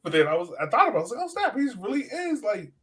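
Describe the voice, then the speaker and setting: A man with a fairly high-set voice co-hosts a soccer fan podcast. He talks through matches in a casual, conversational way, animatedly acting out reactions as he goes. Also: very animated, rapid-fire, fairly bright tone. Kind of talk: casual sports chat, match analysis.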